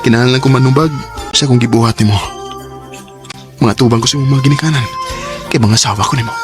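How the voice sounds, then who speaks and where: A man's voice: medium pitch, steady tone, fast talker, close to an everyday voice. An actor playing an earnest young man courting his sweetheart, speaking tenderly and pleadingly in a radio drama. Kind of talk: Cebuano radio drama acting. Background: background music.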